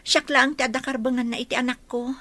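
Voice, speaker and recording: A woman's voice: high voice, fairly even intonation, quick pace, bright, forceful tone, dry recording. A woman voicing a timid, anxious young pregnant housemaid with an Ilocano accent, hesitant and deferential in a radio drama. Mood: timid, reluctant. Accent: Filipino, Ilocano.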